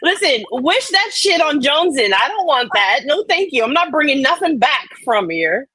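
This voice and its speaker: A woman's voice: high voice, very animated, moderate pace, sharp tone, a little hiss or hum. A woman hosting a livestream with casual chat, joking and swearing in an informal way. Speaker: livestream host.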